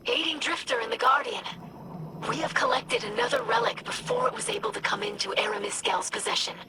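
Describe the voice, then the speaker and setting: A woman's voice: fairly high pitch, very animated, brisk. An actress playing a bookish young female alien scholar in a video game, polite and eager, with formal, precise phrasing. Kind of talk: video game cutscene voice acting. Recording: very noisy, distant game audio.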